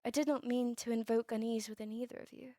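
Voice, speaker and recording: A woman playing a melancholy, courteous vampire in an ASMR roleplay drama, with formal, old-fashioned, measured phrasing. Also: a little high, fairly even intonation, dark tone, no room sound, clean studio sound, lightly acted. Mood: somber, pessimistic. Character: melancholy, courteous female vampire, turned young over a century ago.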